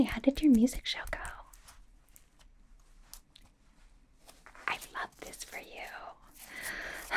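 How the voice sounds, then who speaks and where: A woman's voice: mid-range voice, darkish tone. A woman playing a bubbly, devoted young K-pop idol and stylist in an ASMR roleplay, whispering in a gushing, rambling, playful way. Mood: encouraging, adoring. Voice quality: breathy whisper.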